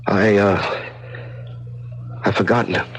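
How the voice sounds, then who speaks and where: An actor in a 1970s radio drama playing a frightened prisoner unraveling in the dark, talking to himself in halting, repetitive bursts. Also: male voice, fairly low pitch, little pitch movement, slight background noise, lightly acted. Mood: dazed, pleading. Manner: halting, repetitive self-talk that swings from whispers to cries. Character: frightened prisoner in solitary darkness, slowly unraveling. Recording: old radio drama with loud music behind.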